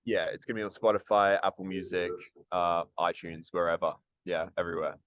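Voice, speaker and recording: A man's voice: low-pitched, fairly even intonation, fairly quick, a bit bright, dry recording. A man answering interview questions about acting and music, casual and hesitant, with many ums and restarts. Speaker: actor and musician, interview guest.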